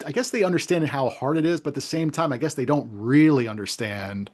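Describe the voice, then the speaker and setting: Male interviewer, mid-range voice, conversational and unpolished, feels his way haltingly through long questions. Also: mid-range voice. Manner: halting, rambling questions with repeated words. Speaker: interview host. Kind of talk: casual interview chat.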